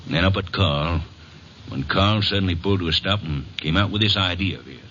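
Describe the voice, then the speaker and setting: An old, slightly hissy radio drama recording carries a big, tough avenger. The voice is very deep and hard-edged, with blunt, colloquial menace. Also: male voice, brisk, bright, forceful tone, dull audio. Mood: grim, recounting. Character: big, tough, vengeful bearded man. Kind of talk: 1940s-50s radio acting.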